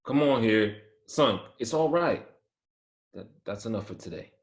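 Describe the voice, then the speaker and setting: A man's voice: on the low side, animated, unhurried, slight background noise. An actor playing a proud, demanding baseball-star father in a dramatic script reading. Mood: reassuring. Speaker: actor in a screenplay table read. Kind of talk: dramatic table read.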